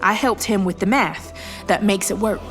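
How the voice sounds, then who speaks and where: A woman playing a sharp-tongued, defiant small-town scientist with a folksy, commanding manner in an audio drama. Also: medium pitch, normal speed, over music, close to an everyday voice.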